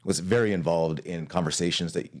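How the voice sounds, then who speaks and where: A man's voice: low-pitched, fairly quick, a little mellow. A man from Hawaii, an arts leader, talking through his career in a casual interview.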